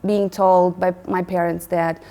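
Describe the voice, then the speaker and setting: A Moldovan woman in her forties with a Moldovan accent, telling stories as a guest in a casual interview, animated and laughing, with many restarts. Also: on the low side, measured pace.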